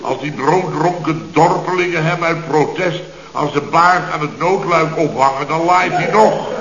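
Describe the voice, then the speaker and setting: A roomy, muffled old radio recording of an indignant company boss. His voice sits a little high, and he blusters with heavy sarcasm in a late-sixties comedy serial style. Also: a little slow, a bit bright, slight background noise. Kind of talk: late 1960s Dutch radio comedy acting.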